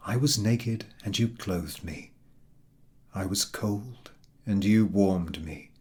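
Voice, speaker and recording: A man voicing a gentle, solemn divine spirit from a dream in a storybook, hushed, tender and measured. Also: lowish voice, husky, full character voice. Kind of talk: storybook character acting.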